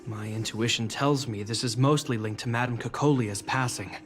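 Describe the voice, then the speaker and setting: An actor playing a dutiful, worried military officer in a video game, speaking in a formal, measured way. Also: male voice, medium pitch, fairly even intonation, fairly bright tone, soft background music, natural voice. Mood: worried, reflective.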